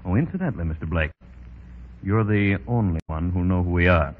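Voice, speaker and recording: An actor playing a courteous, authoritative bank president who secretly runs a racket, formal and businesslike in a 1930s radio drama. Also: male voice, low voice, fairly quick. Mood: affable, authoritative. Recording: muffled, hissy 1930s radio recording.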